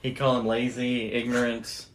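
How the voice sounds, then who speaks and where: A man's voice: mid-range voice, monotone, normal speed, a little hiss or hum. A man with a mid-range voice talks as a podcast host. He explains things in an informal, conversational storytelling style, phrasing them loosely as he goes. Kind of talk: casual storytelling chat.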